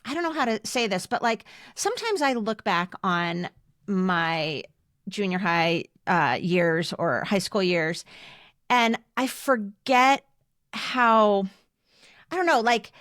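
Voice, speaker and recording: A woman's voice: mid-range voice, lively intonation, takes it slowly, fairly bright tone, no echo, studio quality. A woman in her fifties talking casually as a podcast co-host. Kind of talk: casual conversational podcast talk.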